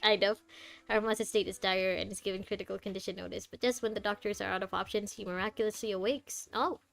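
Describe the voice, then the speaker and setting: A woman giving live playthrough commentary, chatting casually to viewers and reacting aloud in a jokey, expressive way. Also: mid-range voice, fast, creaky. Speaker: game streamer. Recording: music behind the voice. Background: game music.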